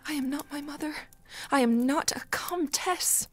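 An actress playing a sheltered, defiant young daughter, soft, earnest and emotional, in a period audio drama. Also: female voice, high voice, moderate pace, faint music behind, close to an everyday voice. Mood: pleading.

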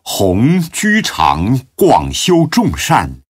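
Male narrator, solemn classical recitation: low, rough-edged, highly inflected, close dry sound. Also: huge pitch swings, no room sound. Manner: measured, dramatic recitation. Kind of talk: solemn scripted narration of classical religious biography.

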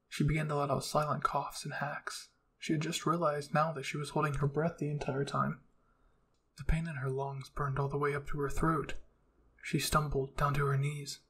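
A man reads dramatic fiction as a narrator, in a measured way. His voice is husky and creaky, and his delivery stays very even in pitch. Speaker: narrator reading fan fiction. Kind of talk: scripted audiobook-style narration.

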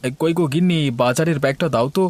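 A man's voice: fairly high pitch, racing, darkish tone, slight background noise, natural voice. A man playing a fretful, hospitable village householder in a cartoon, homely and exclamatory in his talk. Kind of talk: cartoon dialogue.

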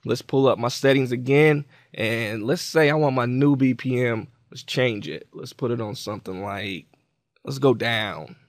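A man's voice: medium pitch, moderate pace, no room sound. Man giving a casual tutorial, dry close recording. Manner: informal, conversational, explains step by step. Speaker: tutorial presenter. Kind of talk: casual software tutorial walkthrough.

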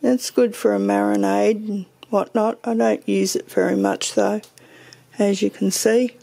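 A woman's voice: mid-range voice, steady tone, unhurried, gravelly, mellow. A woman giving a casual, meandering tour of her home pantry, thinking aloud as she films her shelves. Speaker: homesteading vlogger.

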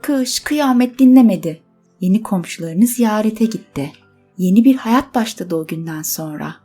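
A woman narrating a scripted children's bedtime story expressively, with dramatic pauses. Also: mid-range voice, warm tone, slightly rough.

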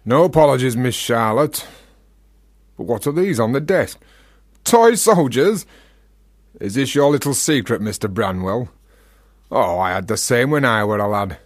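A Yorkshire philosopher in a radio drama. He talks in rhetorical flourishes and teases as he provokes, in a mid-pitched voice recorded close and dry. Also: expressive, measured pace, long pauses, dry recording. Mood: teasing, provocative. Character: talkative Yorkshire freethinker, teasing and philosophising. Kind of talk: radio play acting.

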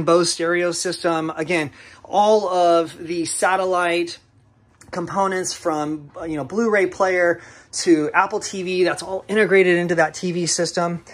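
Man touring a yacht, fairly high voice. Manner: casual, lots of uh fillers, listing features. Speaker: yacht broker. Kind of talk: walkthrough tour narration. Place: inside a yacht cabin, small wooden rooms.

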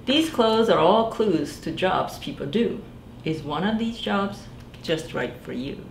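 A woman in her forties, a children's librarian, reading a picture book aloud in a gentle sing-song way and asking the children to guess. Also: on the low side, animated, measured pace, reverberant, background noise. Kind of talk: storytime read-aloud for young children. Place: small library room indoors.

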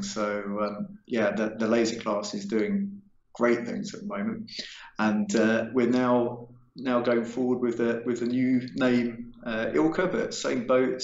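A man's voice: mid-range voice, flat delivery, measured pace. Older guy chatting upbeat on a video call, echoey room, kinda dull audio. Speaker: show co-host. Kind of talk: casual video-call chat.

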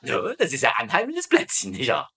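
An actor playing a bossy, gossipy, bumbling military commander in theatrical dubbed sitcom style. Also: male voice, mid-range voice, wildly swooping pitch, a little fast, sharp tone, slight background noise. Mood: smug, jovial. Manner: theatrical, tags sentences with a questioning phrase.

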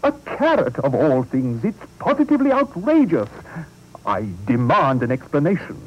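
A man playing a pompous, blustering male town mayor who booms indignantly. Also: huge pitch swings, normal speed, lo-fi sound. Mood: outraged. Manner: booming, indignant.

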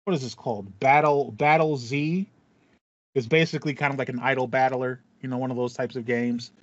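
An American man in his thirties talks casually as a gaming podcast co-host. His voice sits at a medium pitch, and the recording is very dry and a little dull. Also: steady tone, brisk, no room sound. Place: small home room with acoustic foam. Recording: slightly dull, band-limited, dry sound.

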